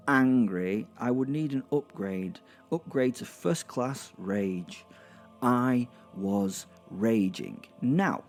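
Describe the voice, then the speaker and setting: A man's voice: mid-range voice, mellow. A man playing a brash, sardonic werewolf who tells his violent story in a rambling, conversational way with wry asides, in an audio drama. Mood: mounting rage. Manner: rambling, conversational, halting for effect, repeating words. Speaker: narrator and main character of an audio drama.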